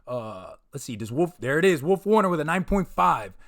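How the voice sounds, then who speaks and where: A man's voice: fairly high pitch, sing-song, moderate pace. A man hosting a live comic review stream in casual chat, laid-back and slangy, often stumbling and repeating words. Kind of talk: casual livestream chat, reading viewer comments.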